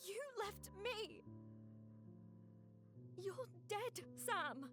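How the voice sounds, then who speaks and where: A woman's voice: very high-pitched, expressive, extremely slow, pitched above the performer's own voice, heavily acted. A woman voicing a frightened, grieving young wife, tearful and imploring, in a visual novel. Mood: desperate, panicked. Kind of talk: dramatic visual novel voice acting. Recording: thin voice under very loud music.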